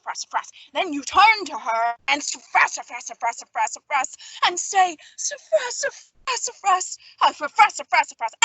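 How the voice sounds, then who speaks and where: A woman's voice: high voice, pushed and bright, dry recording, poor audio. A woman playing a pompous, huffy male film director who sputters nonsense in an old-time radio comedy.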